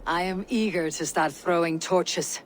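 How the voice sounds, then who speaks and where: An actress playing a stoic, battle-hardened female Viking raider in a video game, speaking in a measured, terse way. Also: on the low side, faint music behind, close to an everyday voice. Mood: steady, probing. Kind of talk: video game cutscene acting.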